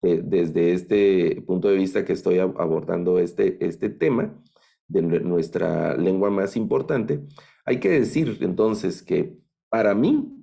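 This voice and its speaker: Deep gravelly Guatemalan man lecturing. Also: dark tone. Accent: Guatemalan Spanish. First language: Spanish. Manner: thoughtful, halting, many fillers and restarts. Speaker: Bible language instructor. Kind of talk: online lecture.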